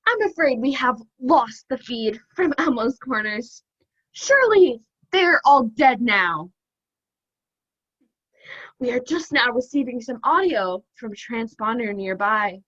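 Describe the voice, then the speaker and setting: A woman's voice: fairly high pitch, lively intonation, unhurried, fairly bright tone. A teenage woman playing a solemn, overdramatic radio news anchor, announcing formally over a video call. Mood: grave, urgent. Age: teens. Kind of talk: mock radio news bulletin. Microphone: computer microphone on video call. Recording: dull, muffled video-call audio.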